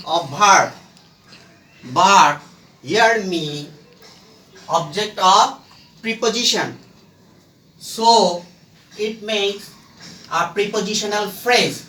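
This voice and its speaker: A man gives an instructional lesson in a high, bright voice. He speaks extremely slowly, setting out short phrases one at a time with emphatic stress. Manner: halting, emphatic, word-by-word phrasing. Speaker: English grammar teacher. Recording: echoey room, distant microphone.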